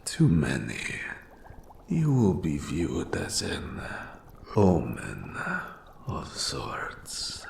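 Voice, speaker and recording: A man voicing an eldritch mentor of dark magic in a scripted fantasy roleplay, whispering gravely with ominous, formal, archaic speech. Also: huge pitch swings, extremely slow, background noise, exaggerated voice. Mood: grave, brooding. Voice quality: breathy whisper with gravelly low rasp. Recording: roomy echo on the voice.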